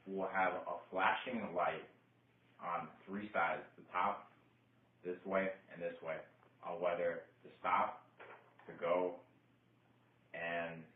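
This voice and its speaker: A man playing an earnest 1920s inventor presenting his invention in an amateur sketch. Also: deep voice. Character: earnest 1920s male inventor pitching his traffic signal. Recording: muffled, echoey, distant mic, faint noise.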